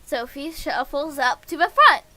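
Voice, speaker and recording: Very high-pitched, bright-voiced woman streaming. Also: measured pace. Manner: excitable, gushing, giggly. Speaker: livestreamer reading a visual novel aloud. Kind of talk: casual game stream, reading aloud and reacting.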